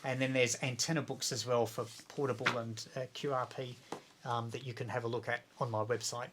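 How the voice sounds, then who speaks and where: A man's voice: mid-range voice, level tone, brisk, background noise. A man with an Australian accent explaining practical things in a casual interview, with an enthusiastic, practical manner. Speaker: guest, amateur radio video maker and author.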